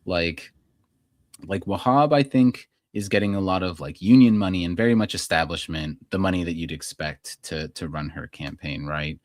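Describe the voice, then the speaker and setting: A man's voice: low-pitched, moderate pace, no echo, clean studio sound. An American man co-hosting a podcast, chatting about politics in an informal, opinionated way peppered with filler likes. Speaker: podcast co-host. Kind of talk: casual political chat.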